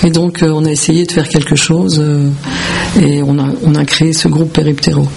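A woman from Belgium with a creaky voice, answering as a musician in a radio interview in halting, repetitive phrases. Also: deep voice, little pitch movement, darkish tone, slight background noise. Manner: hesitant, halting phrasing with repeated words. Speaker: musician, founding member of a band.

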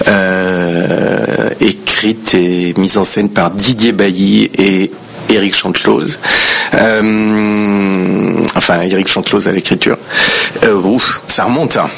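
A man's voice: sits low, fairly even intonation, slow. A man, an actor giving casual, modest and hesitant answers in an interview. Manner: hesitant, modest, self-effacing. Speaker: stage actor being interviewed. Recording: clear background noise, room ambience.

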